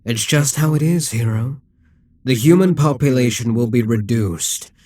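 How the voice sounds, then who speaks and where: A man playing an immortal Demon King in an ASMR roleplay, cold, calculating and condescending, with a husky, commanding delivery. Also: mid-range voice, unhurried, warm tone, no room sound, close to an everyday voice. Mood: calm, menacing. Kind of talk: ASMR audio roleplay, dramatic monologue.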